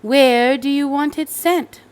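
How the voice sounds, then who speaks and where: A woman's voice: sits high, steady tone, slow pace, pitched above the performer's own voice. A woman voicing an obliging female shopkeeper in a children's storybook, questioning and emphatic. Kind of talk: children's storybook read-aloud.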